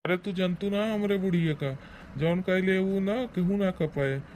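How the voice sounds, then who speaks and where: A man's voice: high voice, moderate pace, a little mellow, a little hiss or hum, close to an everyday voice. A man playing a blustering, defensive old village husband in a rural comedy cartoon, scolding and rambling in an Awadhi accent. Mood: confiding, wary. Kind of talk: rustic comedy cartoon acting.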